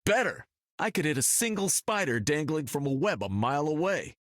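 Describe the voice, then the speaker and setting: Wisecracking superhero archer, swooping intonation. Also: male voice, fairly high pitch, sing-song, normal speed, a bit bright, no echo, clean studio sound. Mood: cocky. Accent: American. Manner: wry, casual American banter. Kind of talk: video game dialogue.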